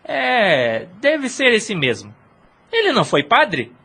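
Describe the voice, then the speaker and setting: Woman acting helpful front-desk clerk, sharp bright tone, raspy, radio play. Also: mid-range voice, wildly swooping pitch, slow pace, exaggerated voice. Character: obliging hotel receptionist. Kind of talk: Spiritist radio drama acting.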